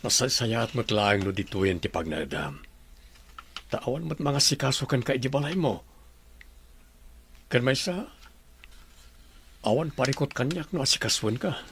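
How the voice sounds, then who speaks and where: A man's voice: medium pitch, lively intonation, a little slow, long pauses, fairly bright tone, slight background noise. A man playing a devoted, dutiful doctor husband in an Ilocano radio drama, tender and earnest, with an Ilocano accent.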